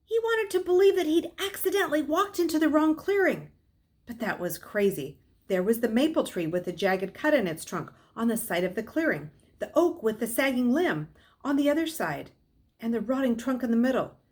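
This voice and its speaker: A middle-aged woman reading a novel aloud as a storyteller, in expressive narration. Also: a little high, normal speed. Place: small furnished room at home, indoors.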